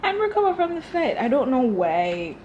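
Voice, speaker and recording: A woman's voice: high-pitched, very animated, normal speed, darkish tone, highs cut off, slight background noise. A young Jamaican-accented woman, raised speaking Dutch, giving a blunt, chatty TV review full of swearing and tangents. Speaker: TV show reviewer. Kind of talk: casual reaction commentary.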